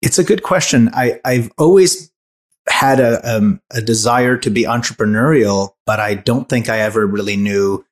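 A man's voice: mid-range voice, normal speed. A man in his forties from Hungary, a startup founder answering interview questions thoughtfully, with many restarts and fillers.

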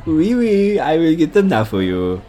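A bumbling young cafe waiter in a comedy radio play, acted by a man. He has an unconvincing French accent and a cheery manner. His delivery is slow and halting as he fumbles for words. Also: a little high, big pitch swings, warm tone, voice pushed down. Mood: flustered. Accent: mock French.

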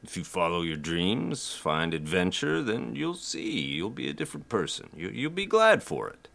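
Man acting a deep, swooping, pleading older father. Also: wildly swooping pitch, creaky, a bit bright, full character voice. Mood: persuasive, concerned. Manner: halting, stammering, earnest delivery. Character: doting, worldly older father, pleading and persuasive. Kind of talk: audio drama acting.

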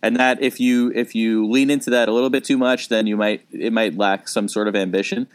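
A man's voice: on the low side, fairly even intonation, fairly quick, smooth. A man in his thirties, a comedian co-hosting a podcast, talking casually and thinking aloud with frequent restarts.

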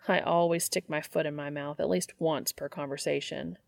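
A woman's voice: sits low, fairly even intonation, normal speed, vocal fry, no room sound, clean studio sound. A woman voicing a brash, flustered young man in an audiobook, blurting and teasing with sarcasm. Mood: embarrassed. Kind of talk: audiobook character voice.

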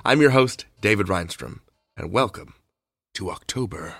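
Animated, gravelly male podcast host. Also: on the low side, moderate pace, soft background music. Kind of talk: conversational scripted introduction.

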